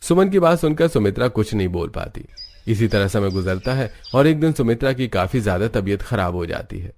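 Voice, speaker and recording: Low-pitched male story narrator. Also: animated, fairly quick, darkish tone. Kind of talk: scripted moral story narration.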